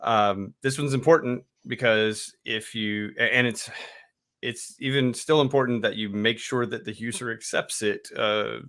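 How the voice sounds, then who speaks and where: A man co-hosting an IT training video, explaining settings in a halting, loose way with many restarts. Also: medium pitch, fairly even intonation, a bit bright. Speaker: IT trainer, co-host. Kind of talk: casual tech explanation.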